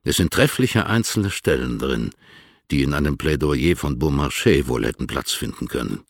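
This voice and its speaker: An actor playing a cool, sardonic, haughty letter writer in a radio play, husky and formally measured. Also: male voice, a bass voice, expressive, dry recording, clean studio sound, full character voice. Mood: mocking.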